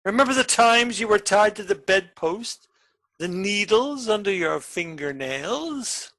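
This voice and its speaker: Actor as a sinister, sharp-voiced menacing man. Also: high-pitched, lively intonation, unhurried, full character voice. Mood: coolly menacing. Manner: commanding, threatening. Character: sinister, menacing brother, a returned criminal. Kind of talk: radio play acting.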